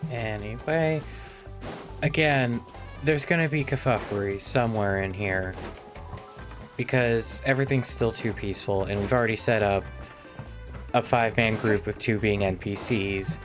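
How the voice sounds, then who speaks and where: A man's voice: on the low side, moderate pace, pauses often, over music. A man giving casual, rambling, sweary commentary as a live game streamer.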